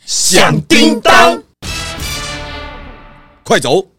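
A man playing a clever, methodical pig detective who explains deliberately in a children's audio drama. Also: sits high, huge pitch swings, bright, forceful tone, soft background music, heavily acted. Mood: excited.

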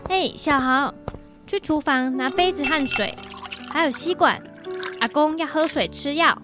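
A woman with a Taiwanese accent, acting a busy, caring mother in a radio drama, expressive and brisk. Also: high-pitched, over music. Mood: busy, instructing.

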